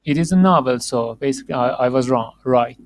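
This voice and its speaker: Halting male podcast host, dry sound. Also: mid-range voice, no room sound. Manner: halting, many restarts and fillers, laughs often. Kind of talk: casual interview chat.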